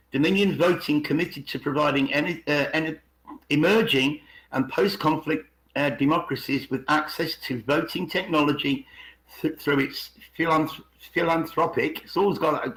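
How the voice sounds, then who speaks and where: A man's voice: on the high side, a bit bright. A man hosting a rambling conspiracy talk, reading bits aloud and repeating words as he halts and checks for agreement. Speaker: podcast host. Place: online video call. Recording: roomy, echoey video call.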